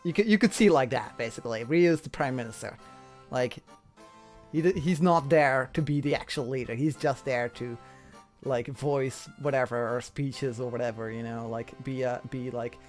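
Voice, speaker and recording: A man's voice: medium pitch, normal speed, soft background music. A man chatting casually as an interviewer, explaining things in a rambling way and often repeating himself. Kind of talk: casual chat.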